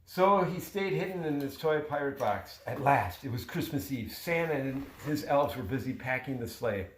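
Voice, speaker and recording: A man reading a children's story aloud expressively, like a father sharing it with his child. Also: mid-range voice. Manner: expressive, pausing to chat with the child. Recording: roomy, echoey home recording.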